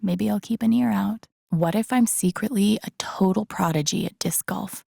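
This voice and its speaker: Video game lead: wry young woman, empathetic amateur sleuth, casual inner monologue, creaky voice, very dry close recording. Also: mid-range voice, moderate pace, warm tone, no room sound. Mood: wry, musing. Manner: casual, muttering to herself, dry humor.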